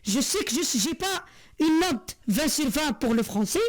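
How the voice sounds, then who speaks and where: A woman's voice: high-pitched, very animated, unhurried. A woman in her forties from Algeria, talking to camera in an earnest, repetitive way with many hesitations. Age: 40s. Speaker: vlogger. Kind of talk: heartfelt monologue to camera.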